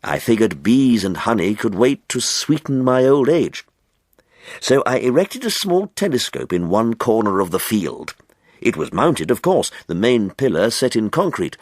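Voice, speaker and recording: A man's voice: medium pitch, very animated, measured pace, dry recording, clean studio sound. A man reading aloud as a rapturous, earnest, proud older amateur stargazer in an audiobook story, lingering emphatically on his words. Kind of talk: audiobook character dialogue.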